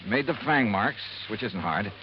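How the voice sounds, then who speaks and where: An actor playing a probing, friendly insurance investigator in 1950s radio drama style, pressing people with clipped questions. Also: male voice, on the low side, animated, unhurried. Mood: shrewd, insistent. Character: brisk, probing male insurance investigator, hard-boiled but friendly. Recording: dull, noisy vintage radio recording.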